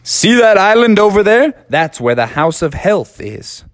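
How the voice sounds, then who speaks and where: A man voicing a bossy male chicken drumstick leader in a children's storybook, raising his voice and lecturing in a booming tone. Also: big pitch swings, a little slow, dry recording, clean studio sound. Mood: stern.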